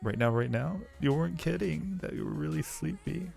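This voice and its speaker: A man chatting casually with viewers as a livestream host, sometimes halting as he explains things. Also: on the high side, lively intonation, vocal fry, a little mellow. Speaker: streamer and audio roleplay creator. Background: faint music.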